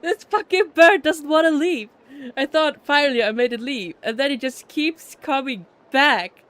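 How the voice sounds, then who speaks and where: A streamer chatting casually in a rambling, giggly, expressive way. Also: high voice, normal speed. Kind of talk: casual livestream chat.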